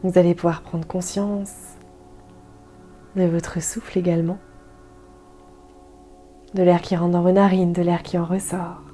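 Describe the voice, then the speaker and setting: Very mellow, soft-spoken woman guiding meditation. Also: on the low side, faint music behind. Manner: soft, calm, soothing delivery with gentle pauses. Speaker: guided meditation instructor. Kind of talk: guided yoga nidra meditation, soothing instruction.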